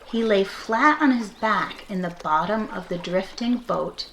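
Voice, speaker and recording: A woman reading a children's picture book aloud expressively, with measured, deliberate phrasing and emphasis on key words. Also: medium pitch, fairly bright tone, a little hiss or hum. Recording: classical symphony music playing behind. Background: orchestral classical music.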